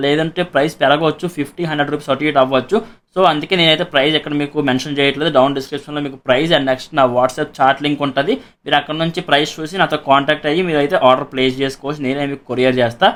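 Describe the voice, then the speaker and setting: A man's voice: fairly high pitch, fairly even intonation, racing, a bit bright. A man with a Telugu accent gives a casual product demonstration as a reviewer and seller, explaining repetitively and mixing in English words.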